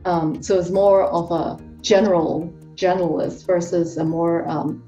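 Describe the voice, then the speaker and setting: A woman talks through her answers in a relaxed, chatty way, full of fillers and self-corrections. Her voice sits a little low and sounds mellow. She is heard on a dull, muffled recording from some distance off the mic, with faint music behind her. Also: unhurried. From Hong Kong. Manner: chatty, with many fillers and restarts. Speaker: guest tax professional. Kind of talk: casual interview answers.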